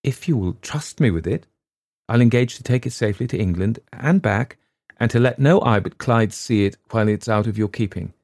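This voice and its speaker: Man doing polite young gentleman, persuasive, slightly halting, low voice, dry. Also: no echo, natural voice. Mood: calm. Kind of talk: audiobook reading.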